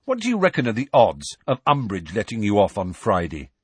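A man's voice: mid-range voice, measured pace, fairly bright tone, no echo, voice pushed up. A man doing a skeptical teenage boy.

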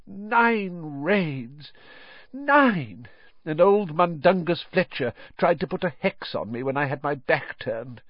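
A weary, gentle father of middle age as a man narrating a storybook acts him. He is sheepish and absent-minded, hesitating and mumbling. His pitch wanders widely, and the sound is dry and a little dull. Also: sing-song, takes it slowly, no room sound, exaggerated voice.

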